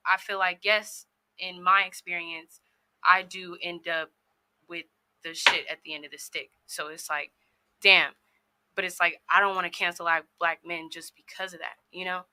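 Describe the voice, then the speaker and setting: A woman's voice: lowish voice, steady tone, normal speed, sharp tone, slight background noise, poor audio. A young woman in her twenties, a guest on a dating panel, chatting candidly and laughing easily. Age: 20s. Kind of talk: casual panel talk.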